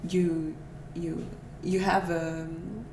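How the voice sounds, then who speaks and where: Flat gravelly woman from Portugal, distant. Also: lowish voice, drawn-out words. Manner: reflective, thinks aloud with fillers. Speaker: podcast host. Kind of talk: casual interview conversation. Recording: roomy, distant, noisy recording.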